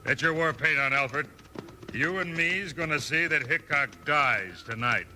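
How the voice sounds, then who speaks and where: An actor playing a menacing, brazen cattle-thief villain with a sneering American drawl in a 1950s radio Western. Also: male voice, on the high side, animated, measured pace, over music. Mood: menacing, scheming. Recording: faintly noisy vintage radio recording.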